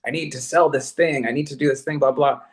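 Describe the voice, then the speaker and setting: A man's voice: fairly high pitch, steady tone, fast talker. A man speaking conversationally in a panel discussion as a podcast producer, effusive and emphatic, thinking aloud in casual slang.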